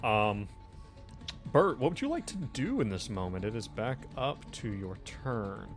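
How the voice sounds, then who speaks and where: A man's voice: on the low side, very animated, unhurried, music in the background. A man working as game master, casually narrating a tabletop horror game and calling for rolls.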